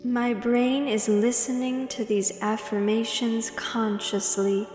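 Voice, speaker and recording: A woman narrating a guided meditation with affirmations in a calm, measured way, leaving long pauses between phrases. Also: fairly high pitch. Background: meditation music.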